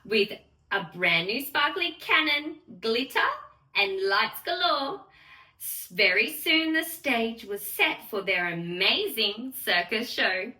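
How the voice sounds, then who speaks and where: A woman's voice: on the high side, expressive, takes it slowly, pushed and bright. A woman reading a bedtime story aloud to small children in a theatrical sing-song way, talking directly to her young listeners. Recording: roomy echo, distant microphone.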